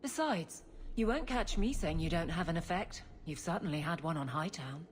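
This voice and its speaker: A proud woman who captains the guard voiced by an actress for a video game. Her voice sits fairly low and her delivery is blunt, dry and firm. Light ambient noise sits behind her. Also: animated, moderate pace, lightly acted. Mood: candid, teasing. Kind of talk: video game cutscene acting.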